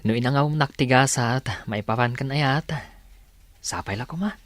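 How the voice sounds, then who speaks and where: A man's voice: medium pitch, a little slow, a bit bright, dry recording, natural voice. An actor playing a humble, dutiful young student father in a radio drama, sincere and modest. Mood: hopeful, humble. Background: background music.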